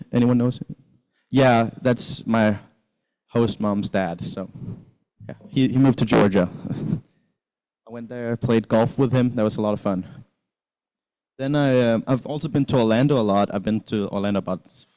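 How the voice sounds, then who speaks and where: Teen guy from Sweden, chatty presenter, mellow dark voice, mid-pitched. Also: rough recording. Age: teens. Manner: chatty, rambling, many fillers and restarts. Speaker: exchange student presenter. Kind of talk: casual presentation with slides.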